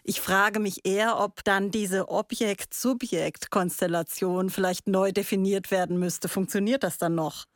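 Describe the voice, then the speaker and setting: A woman's voice: mid-range voice, steady tone, measured pace, few pauses, dry recording, studio quality. A woman presenting a reflective radio discussion, posing abstract questions.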